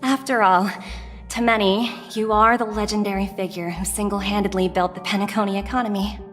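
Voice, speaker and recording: An actress playing an astute, respectful junior corporate envoy in a video game, polished, precise and businesslike. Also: female voice, medium pitch, steady tone, brisk, a bit bright, close to an everyday voice. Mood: assured. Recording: reverberant hall, music behind.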